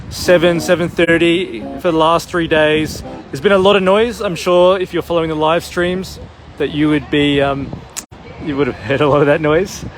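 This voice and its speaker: A man's voice: fairly high pitch, slight background noise. A man hosting a protest livestream outdoors, talking casually with lots of fillers. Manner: relaxed, rambling with many fillers. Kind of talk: casual on-the-spot talk. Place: outdoor city square. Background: live music nearby.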